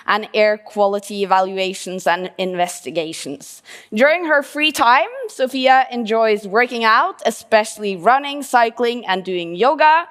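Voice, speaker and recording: Woman introducing speakers in an echoey hall. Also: mid-range voice. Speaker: conference presenter introducing speakers. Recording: reverberant hall.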